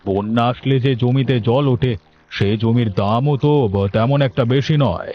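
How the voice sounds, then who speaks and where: A man voicing a sly, condescending land broker in a Bangla cartoon, with a measured, hinting delivery. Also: medium pitch, muffled recording, close to an everyday voice. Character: sly bribe-seeking land broker gentleman.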